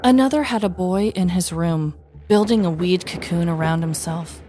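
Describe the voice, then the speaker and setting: A woman's voice: lowish voice, normal speed, mellow, slight background noise. An American woman in her thirties narrating a scripted comedic story as a podcast host, with a wry, dramatic delivery. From United States. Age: 30s.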